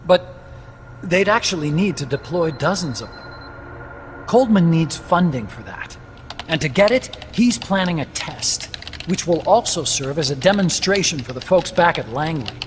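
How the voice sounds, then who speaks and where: An actor playing an eager, talkative weapons scientist in a game cutscene, explaining things in an emphatic, lecturing way. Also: male voice, on the high side, lively intonation, moderate pace, a little hiss or hum, natural voice. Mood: earnest lecturing. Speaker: weapons engineer character. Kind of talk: dramatic game cutscene dialogue. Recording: long reverberant hall, music underneath. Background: prominent background music.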